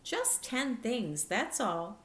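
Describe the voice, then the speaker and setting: An earnest, lonely little girl of ten, played by a woman reader, confiding in a storybook. She speaks slowly and emphatically, with a slight lift above the narration, in an echoing room. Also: on the high side, big pitch swings, fairly bright tone, exaggerated voice. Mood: earnest, pleading.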